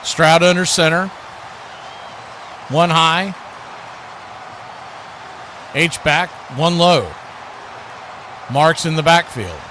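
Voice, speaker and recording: A man's voice: on the high side, drawn-out words, long pauses, sharp tone. A man doing live radio play-by-play commentary of a football game as the announcer. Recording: clearly noisy live broadcast.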